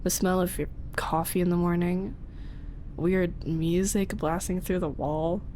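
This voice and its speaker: A young woman voice actress playing a flustered roommate who secretly makes ASMR videos, speaking softly and slipping into whispers. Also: on the low side, flat delivery, a little slow, slight background noise, natural voice. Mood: fond teasing. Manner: soft-spoken, drifting into whispers. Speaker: voice actress in audio roleplay. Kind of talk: ASMR roleplay.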